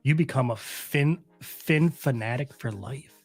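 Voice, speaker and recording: A man's voice: medium pitch, a little slow, breathy edge, soft background music. A man co-hosting a casual fan podcast, riffing with jokes he makes up on the spot. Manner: jokey, riffs with made-up bits. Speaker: podcast co-host. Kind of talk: casual live podcast chat.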